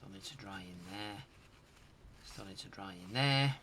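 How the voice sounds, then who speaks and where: A man's voice: lowish voice, normal speed, creaky, bright, forceful tone, a little hiss or hum. A man giving a casual hands-on repair commentary, muttering to himself in short fragments as he works. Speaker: host of a retro tech repair channel.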